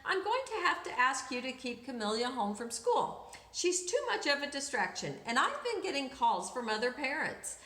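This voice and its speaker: A woman's voice: sits high, big pitch swings, normal speed, pushed and bright, heavily acted. A woman playing an apologetic but firm male school principal in a children's storybook, speaking formally. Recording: echoey room.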